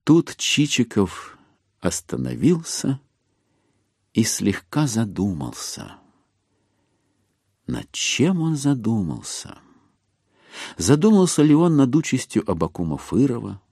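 A man narrating a literary audio drama as a storyteller, with measured, expressive phrasing. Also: mid-range voice, vocal fry, breathy edge, dry recording, clean studio sound.

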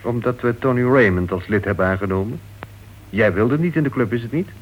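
A man's voice: mid-range voice, fairly quick, lightly acted. Actor as a persistent, well-meaning clubman, muffled recording. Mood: puzzled. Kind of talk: radio play acting in Dutch. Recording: dull old radio recording, faint noise.

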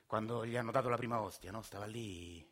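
An actor in his forties playing a working-class Roman father who tells his story in a quiet, wry, confiding way, with a Roman accent. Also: lowish voice, brisk, breathy edge. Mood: calm recounting. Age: 40s. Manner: soft-spoken, rambling, wry confiding storyteller. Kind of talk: radio drama monologue.

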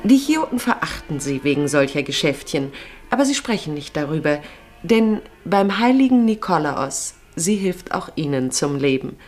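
Female narrator for literary radio drama, deep voice, scripted storytelling, music behind. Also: sing-song, normal speed, a little mellow.

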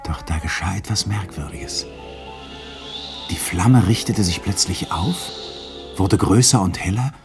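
A man giving scripted storytelling narration as the narrator of a 1960s radio play. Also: sits low.

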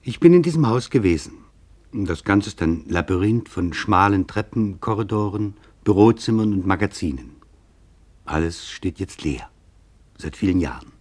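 A man narrating a scripted literary documentary in a grave, measured way with deliberate pauses. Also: sits low, expressive, darkish tone.

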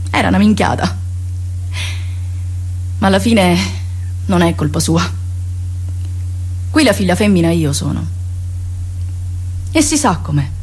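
A woman's voice: on the low side, a little mellow, husky, heavily acted. An actress playing a defiant young Sicilian woman in a theatrical monologue, speaking Sicilian-accented Italian with intense, confiding emphasis and repetition. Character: defiant young Sicilian woman, passionate, rebelling against honour customs.

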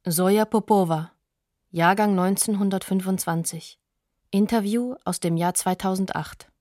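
A woman's voice: medium pitch, unhurried, darkish tone, no room sound, studio quality. A woman announcer reading scripted, factual documentary credits.

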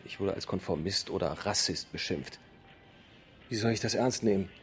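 A man's voice: deep voice, faint music behind, natural voice. An actor playing a stern, educated middle-aged father, speaking formally in a naturalistic radio drama. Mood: bitter, frustrated. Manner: formal, measured wording.

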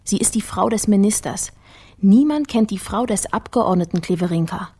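A woman's voice: mid-range voice, expressive, normal speed, dark tone, breathy edge. An actress playing a shrewd, wry female investigator in a radio drama, speaking tersely as if thinking aloud. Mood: reasoning aloud.

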